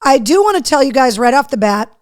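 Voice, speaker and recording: A woman hosting a live show in casual chat, her voice hoarse, chatty and loose. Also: sits high, big pitch swings, brisk. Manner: chatty, talks to her dog between remarks. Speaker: host.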